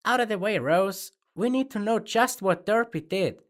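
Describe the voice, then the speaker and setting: A man voicing a brash, pushy tomboyish pony mare who demands answers loudly. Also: sits high, animated, moderate pace, voice pushed up. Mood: angry. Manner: demanding, shouting.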